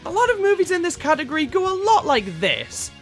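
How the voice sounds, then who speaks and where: A woman's voice: very high voice, huge pitch swings, fairly quick. A woman narrating a scripted video essay as host, with a wry, casual manner. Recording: music playing behind. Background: background music.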